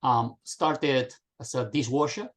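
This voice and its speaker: A middle-aged man from Spain speaks over a video call. He is an animated storyteller, speaking at an ordinary pitch. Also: slow pace. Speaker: guest. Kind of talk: casual video call chat.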